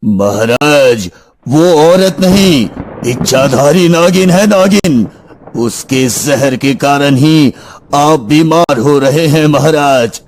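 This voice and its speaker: Man acting a slow, solemn sage healer. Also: on the high side, animated, a little mellow, a little hiss or hum, poor audio. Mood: grave. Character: wise sage healer, grave and commanding. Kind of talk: Hindi fairy tale cartoon dubbing.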